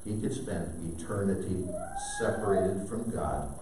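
An older man preaching a sermon from a pulpit, animated, with sudden loud bursts. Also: low voice, measured pace, background noise. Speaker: pastor. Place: church sanctuary. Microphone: far camera, preaching at a wooden pulpit. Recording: very echoey sanctuary heard from a distance.

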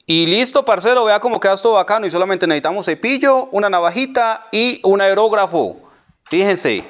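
A man's voice: high-pitched, lively intonation, fairly quick, fairly bright tone. A man with a Colombian accent giving a casual barbering tutorial, colloquial and repetitive, addressing the viewer like a buddy. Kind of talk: casual hands-on tutorial narration.

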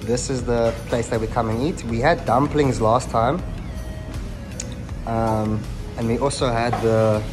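A man's voice: medium pitch, steady tone, unhurried, long pauses, over music. A young man from South Africa chatting casually while taste-testing food for a vlog, laid-back and slangy. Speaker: vlogger. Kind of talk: casual food tasting chat. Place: restaurant, then home living room.

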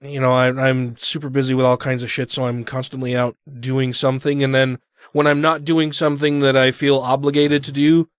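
A congested man hosts a relaxed podcast chat, rambling and swearing freely as he talks. His voice sits at an ordinary middle pitch. The sound is close and dry, with no room around it. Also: a little fast, clear, resonant tone, no room sound. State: congested, recovering from illness. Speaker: podcast host. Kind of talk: casual chat.

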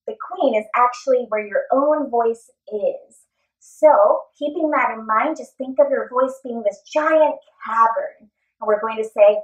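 A woman in her thirties giving an encouraging, animated lesson for children as a theatre performer. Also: a little high. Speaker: theatre performer teaching character voices. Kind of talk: instructional video for children. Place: small indoor room. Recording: echoey room, faint music.

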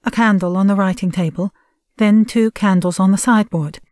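A woman's voice: mid-range voice, steady tone, fairly quick, a little mellow, no room sound, studio quality, close to an everyday voice. A woman reading stage directions as the neutral narrator of an audio play, in scripted narration.

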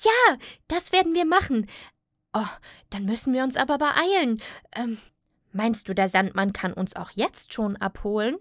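A woman's voice: fairly high pitch, expressive, moderate pace, fairly bright tone, no room sound, clean studio sound. A woman voicing an earnest, friendly little cloud with a childlike, eager manner in a children's audio play. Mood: urgent.

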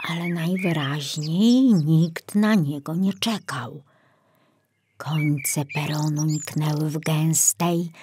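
A woman's voice: deep voice, a little mellow, a little hiss or hum. A woman reading a children's audiobook as scripted narration.

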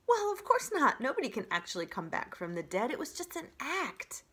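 A woman doing a high, theatrical little female creature. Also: sing-song, fairly quick, a bit bright, husky, full character voice. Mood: flattered.

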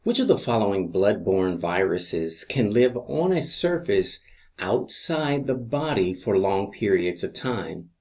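Male instructor for exam review narration: fairly deep voice, very unhurried, measured reading of questions and options. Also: sing-song, a little mellow. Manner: measured, deliberate reading with pauses between answer choices.

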